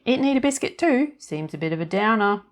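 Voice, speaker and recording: A woman's voice: medium pitch, expressive, fairly quick, pitched above the performer's own voice, natural voice. A woman voicing a tiny, cheerful, scatterbrained older fairy godmother in a storybook reading, breezy and chatty.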